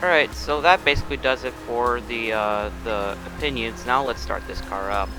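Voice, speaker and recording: A man's voice: medium pitch, normal speed, over music, rough recording. A young man hosting a casual car review of a game vehicle, rambling with many restarts and fillers. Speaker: car review channel host.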